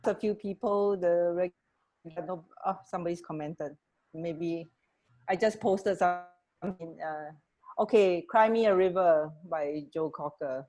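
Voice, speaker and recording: A woman's voice: on the low side, a little slow, dark tone, rough recording. A middle-aged woman co-hosting a radio show in casual, hesitant chat over a video call from home. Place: home living room with stairs, on a video call. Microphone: earphone mic on a video call.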